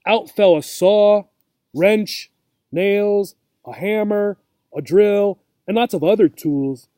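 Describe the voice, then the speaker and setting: A man reading a children's storybook aloud as a teacher would, with simple, deliberate phrasing and asides to young listeners. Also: high-pitched, warm tone, no room sound.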